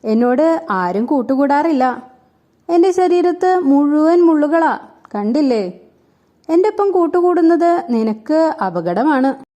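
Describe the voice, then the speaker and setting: A woman's voice: high voice, expressive, slow, warm tone. A woman voicing a lonely, loyal young male porcupine in a children's story, speaking earnestly. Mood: wary, sad.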